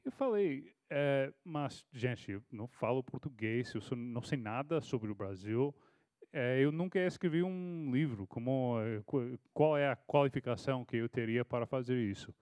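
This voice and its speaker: A man's voice: medium pitch, expressive, normal speed, a little mellow, no echo. Texan guy in his 30s, american accent, stumbles and restarts a lot, dry sound. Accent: American English. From Texas, United States. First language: English. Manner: hesitant, restarts often, storytelling. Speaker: panel speaker, foreign correspondent. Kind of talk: panel talk answering a question. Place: auditorium at a university panel.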